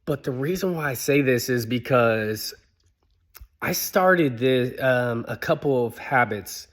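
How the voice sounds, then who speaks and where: A man's voice: mid-range voice, animated, slow. Chatty motivational man in his thirties. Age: 30s. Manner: conversational, filler-heavy, rhetorical questions. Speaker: motivational speaker. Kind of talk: casual motivational talk.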